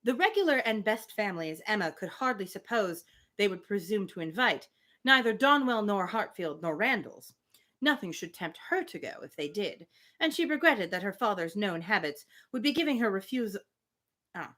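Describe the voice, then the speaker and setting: A woman hosting a live reading of a novel, reading aloud and breaking off for casual asides in an animated way. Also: mid-range voice, normal speed. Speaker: host of live book readings.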